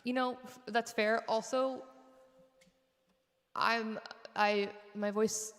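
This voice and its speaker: Singer-host, woman, low-ish voice, rambling casual chat, echoey home room. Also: fairly even intonation, takes it slowly, a bit bright. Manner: chatty, rambling with fillers. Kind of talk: casual chat between songs. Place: home studio set. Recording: echoey room with long reverb.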